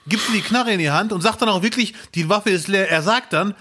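A man in his thirties co-hosting a casual podcast, telling stories in a lively, jokey way. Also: high-pitched, fairly quick. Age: 30s. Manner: animated storyteller, lively and jokey. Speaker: podcast co-host.

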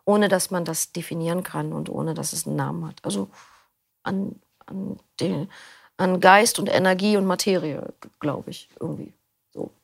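A middle-aged woman with a low, gravelly voice, talking through her answers in a loose, thoughtful way. She restarts often and fills pauses with small hesitations. The sound is dry and close. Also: no echo. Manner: thoughtful, rambling with restarts and fillers. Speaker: interview guest, audiobook narrator. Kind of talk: casual interview answers.

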